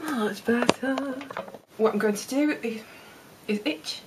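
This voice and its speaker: A woman's voice: fairly high pitch, takes it slowly, low-quality recording. A woman book vlogger presenting and reviewing a fantasy book on camera. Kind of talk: book vlog with dramatic readings.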